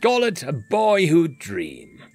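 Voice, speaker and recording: A man's voice: on the high side, huge pitch swings, slow pace, a little mellow, slightly rough, soft background music, pitched above the performer's own voice, heavily acted. A man voicing a cocky male bounty hunter who talks in a smug, joking, casual way.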